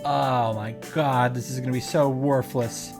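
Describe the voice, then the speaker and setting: A man hosts a visual novel reaction in a casual, off-the-cuff way. His voice sits at an average pitch, and he tends toward exasperated commentary. Game music plays clearly behind him. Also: steady tone, unhurried. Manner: exasperated, sweary asides.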